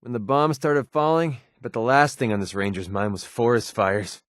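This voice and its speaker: A young American mercenary from a wasteland video game, acted by a man, with a mid-pitched voice recorded very dry. He is sardonic and colloquial, a wisecracking companion who talks in a natural, conversational way. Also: normal speed, no room sound, natural voice. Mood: somber, reflective. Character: wry, sarcastic young male wasteland mercenary.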